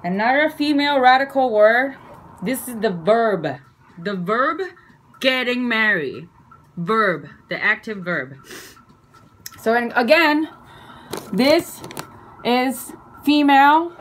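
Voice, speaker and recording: Slow, halting woman, Chinese native. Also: on the high side, animated, a bit bright, a little hiss or hum, rough recording. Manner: slow, halting, playful, with fillers and self-corrections. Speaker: video creator. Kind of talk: casual explainer lesson.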